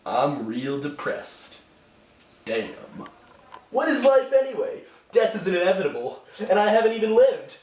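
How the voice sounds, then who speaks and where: A woman's voice: medium pitch, big pitch swings, a little slow, darkish tone, roomy sound, distant mic. A woman voicing a vain, whiny young Elizabethan man in a comic puppet show, slangy and theatrical. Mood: moping.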